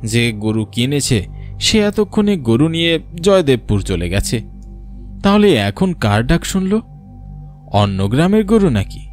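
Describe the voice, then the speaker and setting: A man's voice: mid-range voice, very animated, a little slow, long pauses, a little mellow, soft background music. A man narrating a scripted horror cartoon story in a dramatic storytelling style.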